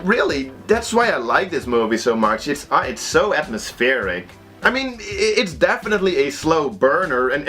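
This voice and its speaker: Male video reviewer, Dutch accent, slightly higher-pitched voice with lively swings, chatty and wry. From Netherlands. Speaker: video host reviewing horror films. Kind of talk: casual review commentary.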